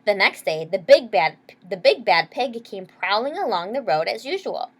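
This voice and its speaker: Woman storyteller, very lively intonation, children's read-aloud, explanatory asides, mid pitch. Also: normal speed, slightly rough. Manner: explains words and asks questions to young listeners. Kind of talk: expressive children's story narration.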